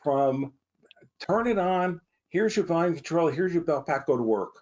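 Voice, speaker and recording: A man's voice: a little high, lively intonation, normal speed, darkish tone, lo-fi sound. A man speaking as a company guest, informally explaining technical matters on a webinar over a video call.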